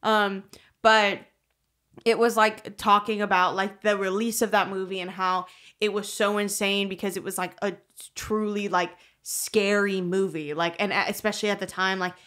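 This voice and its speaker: A woman's voice: medium pitch, fairly even intonation, moderate pace, fairly bright tone. A young woman co-hosting a podcast in a small room, chatting casually with lots of fillers and swearing, into a desk microphone. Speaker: podcast co-host. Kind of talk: casual chat. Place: small room, seated on chairs. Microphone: studio mic on desk stand.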